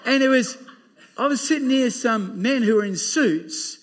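A man with an Australian accent and a loud, booming voice, preaching an emphatic sermon to a church congregation. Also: a little slow. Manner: emphatic, laughing, exclaiming amen. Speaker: pastor.

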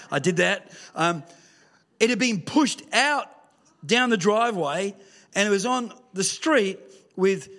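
A man preaching a sermon in a church, mixing scripture with humorous stories and sometimes rising to a shout. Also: sits high. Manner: lively storytelling with humour, rising to shouts. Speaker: pastor. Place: church hall with screen.

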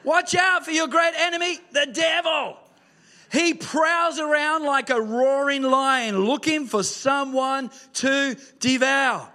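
A man preaching a sermon in a church, mixing scripture with humorous stories and sometimes rising to a shout. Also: extremely high pitch, slow. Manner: lively storytelling with humour, rising to shouts. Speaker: pastor. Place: church hall with screen.

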